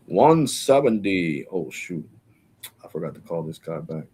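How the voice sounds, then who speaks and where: A deep-voiced man in his forties rambles through a casual live stream chat. He talks loosely and full of slang, tossing in bro and freaking, with a little background hum. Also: very animated, unhurried, darkish tone. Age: 40s.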